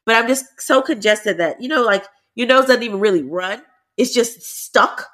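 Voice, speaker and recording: A woman's voice: fairly high pitch, normal speed. A woman with a stuffy, congested voice, co-hosting a casual podcast chat and explaining things plainly. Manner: animated, explains medical terms plainly. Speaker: podcast co-host.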